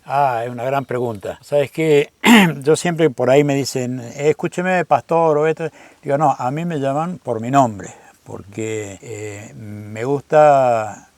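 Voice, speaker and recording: A man's voice: medium pitch, takes it slowly, a little mellow, dry recording. A man in his seventies from Buenos Aires with an Argentine accent, a pastor talking reflectively in an interview, with many fillers and restarts. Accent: Argentine Rioplatense Spanish. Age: 70s. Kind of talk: relaxed conversational storytelling. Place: outdoors on a roadside stop.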